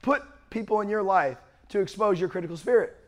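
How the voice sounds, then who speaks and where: Man, young pastor, sermon, strained voice, high pitch, loud projection. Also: fairly quick. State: strained, worn-out voice.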